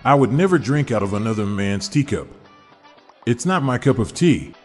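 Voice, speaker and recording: A man hosts a podcast, reading dad jokes one after another in an upbeat, chuckling delivery. His voice sits in a middle pitch and carries a long reverberant tail, as if in a hall. Faint music plays underneath. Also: lively intonation, normal speed. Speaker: podcast host.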